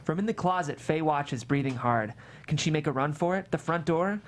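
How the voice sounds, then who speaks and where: A woman reading a script's stage directions as an expressive narrator. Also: low-pitched, moderate pace.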